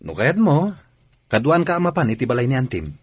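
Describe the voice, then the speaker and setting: Animated, eager young companion. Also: male voice, medium pitch, fairly quick, slightly rough, no room sound. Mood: coaxing. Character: eager, persuasive young male companion of the niece. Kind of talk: radio drama acting.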